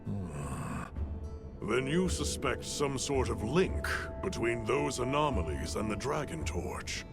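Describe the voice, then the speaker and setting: A man playing a composed, scholarly expedition leader in a video game, speaking in a formal, measured and authoritative manner. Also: medium pitch, sing-song, gravelly, over music, full character voice. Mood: thoughtful. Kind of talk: video game cutscene acting.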